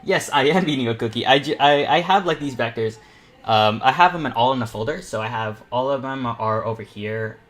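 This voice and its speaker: A teenage male streamer chatting casually as host of an online painting panel, nervous and excitable, in a rambling way. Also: medium pitch, fairly quick. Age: teens. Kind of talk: casual livestream chat. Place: indoors at a computer, live stream.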